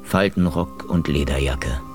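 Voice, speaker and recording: Casting: hardboiled, wisecracking KGB man, extremely deep, sardonic deadpan, noir radio play over prominent music. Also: unhurried. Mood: brooding, cynical. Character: hardboiled cynical male KGB investigator, hard-drinking, foul-mouthed, wisecracking. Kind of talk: noir radio drama, first-person narration and dialogue. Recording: music behind the voice.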